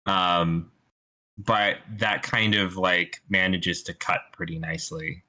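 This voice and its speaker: A man casually explaining an idea off the cuff, halting and correcting himself as he goes. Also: low voice, fairly even intonation, sharp tone, rough recording. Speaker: participant giving writing advice.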